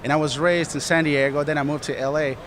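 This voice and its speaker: An earnest, driven man from Colombia describes building an art business, his voice slightly raised in pitch. He talks energetically, often circling back over his own words. Faint outdoor noise is heard around him. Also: fairly even intonation, fast, a bit bright. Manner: earnest, driven, repeats himself. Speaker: studio manager and business partner. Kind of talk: casual interview answers.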